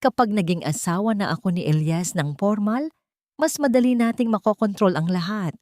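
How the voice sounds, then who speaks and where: A woman's voice: mid-range voice, animated, normal speed, darkish tone, dry recording, clean studio sound, natural voice. A woman voicing a scheming young stepmother who speaks sweetly but with threat underneath.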